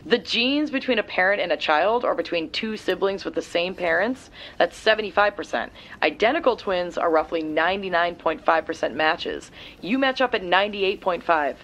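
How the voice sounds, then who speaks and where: An actress as a brisk, techy friend. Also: female voice, fairly low pitch, animated, a bit bright. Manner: brisk, matter-of-fact. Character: quick, techy young woman friend doing lab research. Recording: dull, lo-fi sound.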